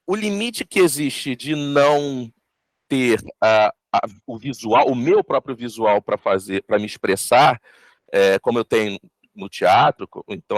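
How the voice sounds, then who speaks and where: Brazilian man, voice actor, creaky fry, reflective interview answers, halting explanatory delivery, dry sound. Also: mid-range voice, no echo. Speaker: voice actor interviewed about an audio drama.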